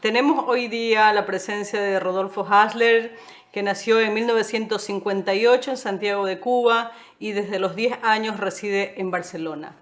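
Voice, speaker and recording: A woman's voice: mid-range voice, steady tone, normal speed. A woman hosting a cultural interview program, asking formal questions with some hesitation. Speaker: interview host.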